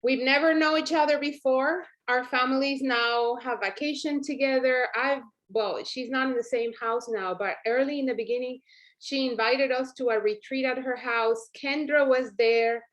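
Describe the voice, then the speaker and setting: High-voiced woman leading a team call. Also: moderate pace, fairly bright tone, poor audio. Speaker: team leader hosting a group call. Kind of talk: informal motivational team talk.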